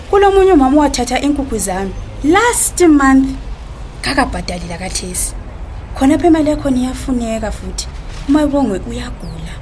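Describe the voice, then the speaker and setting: A woman's voice: fairly high pitch, darkish tone, slight background noise, natural voice. A woman with a Zimbabwean accent playing a worried, polite, burdened wife and mother in a radio drama. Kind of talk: radio drama acting in Ndebele.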